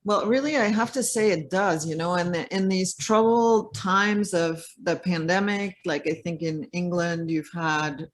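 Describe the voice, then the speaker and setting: A man being interviewed over a video call, his voice sitting slightly high. He talks casually and hesitantly, circling back with fillers before finishing a thought. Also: a little slow, few pauses. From Belgium or United States. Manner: hesitant, many fillers and restarts. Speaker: interview guest. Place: home in a French village.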